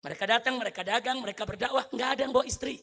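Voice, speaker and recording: A man from Indonesia delivers a heated sermon in a very high, bright and forceful voice. He keeps asking the crowd questions and addressing them directly. His delivery lurches from hushed, low lines to full shouting. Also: rapid-fire, vocal fry. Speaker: preacher. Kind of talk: fiery religious sermon.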